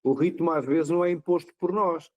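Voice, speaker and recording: A man's voice: on the high side, fairly even intonation, brisk, darkish tone, lo-fi sound. A man from Portugal talking in a casual podcast chat, with restarts and repeated words.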